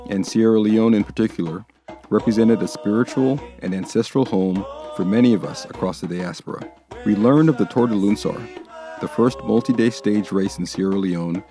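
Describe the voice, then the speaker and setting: Mellow-voiced male documentary narrator. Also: on the low side. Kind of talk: scripted voice-over narration.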